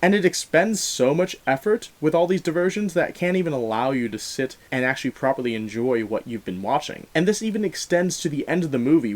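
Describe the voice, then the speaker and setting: Animated man reviewing a film. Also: medium pitch. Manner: animated, emphatic, informal with slangy asides. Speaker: film reviewer and show host. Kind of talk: conversational film review commentary.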